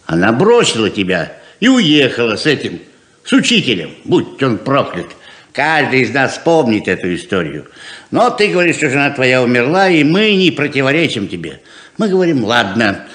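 A man's voice: medium pitch, fairly bright tone. An actor playing a frank, persuasive, hospitable father of grown children in a 1970s radio play, earnest and plain-spoken.

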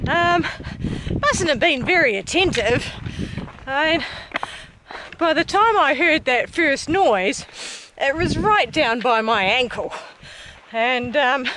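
Middle-aged New Zealand woman, outdoor hiking vlogger, high and unhurried, noisy handheld camera sound. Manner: chatty, enthusiastic, many "um"s. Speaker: hiker vlogger. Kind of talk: casual walking vlog commentary. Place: outdoors on a desert mountain trail. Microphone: camera held at arm's length.

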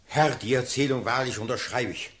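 A man's voice: mid-range voice, brisk, fairly bright tone, lightly acted. An actor playing an emphatic military officer addressing his sovereign in declamatory verse in a classical radio play.

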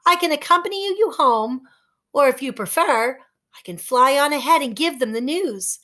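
A tiny, sweet-natured fairy girl, voiced by a woman reading a story, chirping along in a high, bubbly voice. She sounds eager and kind, every phrase lifting brightly. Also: animated, normal speed. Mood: cheerful.

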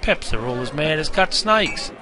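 A man's voice: fairly high pitch, lively intonation, normal speed, lightly acted. A man playing a cheeky, matey barman in an interactive game, with a broad Australian accent and heavy slang. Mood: teasing. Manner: jokey, teasing, heavy slang. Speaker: bartender guide. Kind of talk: interactive game character patter. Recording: busy crowd noise behind. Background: crowd chatter.

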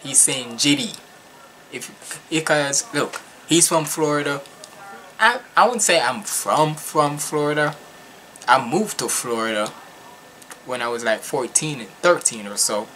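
Reaction host: young man from Jamaica, animated and casual, sharp bright delivery, home recording with slight background noise. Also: medium pitch, a little slow. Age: young adult. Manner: animated, slangy, emphatic, repetitive. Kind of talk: casual music reaction commentary. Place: small furnished room at home.

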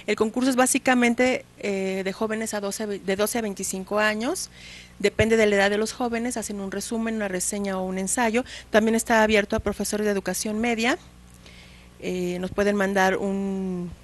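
A woman in her forties speaks as a guest on a small television set, holding a handheld mic. Her voice sits in a middle pitch, and she explains things in a steady, matter-of-fact way. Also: moderate pace. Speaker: guest, contest organizer. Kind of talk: studio interview. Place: small TV studio set, indoors.

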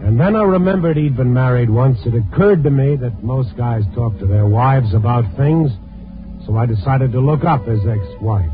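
A dogged, world-weary newspaperman from a vintage radio drama played by a male actor. He has a mid-pitched voice and a hard-boiled delivery, on a narrow old recording. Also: moderate pace, over music, natural voice. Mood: grim, determined. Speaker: lead and narrator. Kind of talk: 1950s radio acting, hard-boiled narration.

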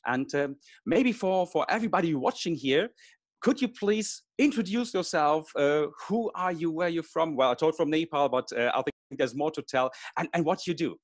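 A man's voice: on the high side, no echo, clean studio sound. A man in his forties hosting a conversational online interview, speaking into a headset mic. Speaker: host of an online travel talk. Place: small room at home, video call. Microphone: headset boom mic.

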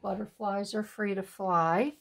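A woman in her sixties chatting at home while she makes a collage at her craft table, with a breathy, sniffly voice, laughing asides and remarks to herself. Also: mid-range voice, fairly even intonation, measured pace, a bit bright. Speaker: craft channel host. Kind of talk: casual chat while making a collage. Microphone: overhead camera on the desk, hands only in frame.

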